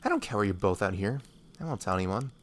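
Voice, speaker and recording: A man voicing a laid-back, blunt but kindly woodsman, casual and unhurried, in a fantasy audio roleplay. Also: fairly low pitch, expressive, lightly acted. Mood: matter-of-fact. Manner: casual, unhurried, matter-of-fact.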